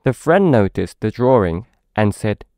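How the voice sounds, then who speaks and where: A man's voice: on the low side, very animated, unhurried, darkish tone, no room sound, clean studio sound. A man with a British accent reading a children's story aloud, expressively, as if to a young child. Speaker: storybook narrator.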